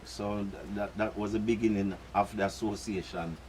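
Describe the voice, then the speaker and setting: A man's voice: fairly low pitch, steady tone, moderate pace, a little mellow, low-quality recording. An older man with a Jamaican accent, telling rambling stories in patois at a press conference. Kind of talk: relaxed storytelling.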